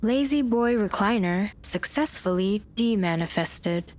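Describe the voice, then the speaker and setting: A woman voices a polite, impassive computer assistant in a sci-fi drama. It delivers formulaic system prompts very deliberately, at a middling pitch. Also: lively intonation, darkish tone, lightly acted. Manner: formulaic, measured system announcements. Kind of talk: audio drama acting.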